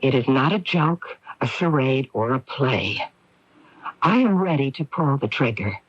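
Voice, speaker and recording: A bitter middle-aged woman speaks with sardonic, theatrical menace in a radio mystery. Her delivery is highly varied in pitch, on a dry, slightly dull 1980s broadcast recording. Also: animated, a little slow, no echo, full character voice. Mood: cold menace. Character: bitter middle-aged woman, coolly threatening murder at gunpoint. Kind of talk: radio mystery drama acting.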